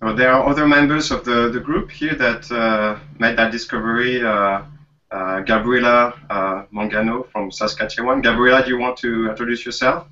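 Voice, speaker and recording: A male museum curator talking casually on a video chat, halting with many fillers. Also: mid-range voice, steady tone, bright, forceful tone, recorded from a distance, dull audio. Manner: halting, frequent "uh".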